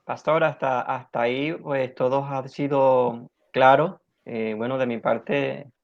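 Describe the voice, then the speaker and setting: A man's voice: medium pitch, fairly even intonation, slow pace, vocal fry. A man answering his teacher politely and hesitantly over a video call. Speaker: seminary student. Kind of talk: brief answer in class. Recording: dull video call audio.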